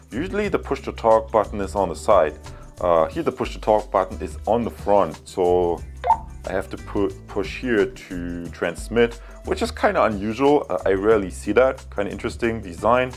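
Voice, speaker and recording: A man talking casually and unscripted to viewers as a product reviewer, explaining things in a rambling, conversational way. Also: fairly low pitch. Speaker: product reviewer, channel host.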